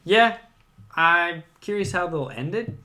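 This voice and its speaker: A man's voice: high voice, animated, slow, bright, forceful tone. A man co-hosting a podcast, giving his opinions on films in a casual, conversational way. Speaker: podcast co-host. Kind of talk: casual film discussion.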